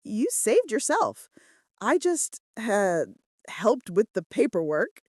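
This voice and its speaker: A woman's voice: medium pitch, very animated, takes it slowly, darkish tone, dry recording. A woman voicing a practical, affectionate female assistant with dry wit in a romance audiobook. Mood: modest, wry. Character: practical, wry, loving female assistant to mafia boss.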